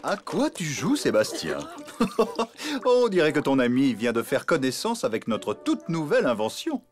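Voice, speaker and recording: A man's voice: a little high, wildly swooping pitch, unhurried, faint music behind. An actor playing a good-natured, playful tinkering father in a children's cartoon, with a jovial, easygoing delivery. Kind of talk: French children's cartoon voice acting.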